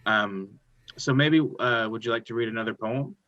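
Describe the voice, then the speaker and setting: A man's voice: fairly low pitch, moderate pace, pushed and bright, no echo. A man hosting a literary interview show, encouraging his guest in loose phrasing with fillers. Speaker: show host. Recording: dull, dry lo-fi audio.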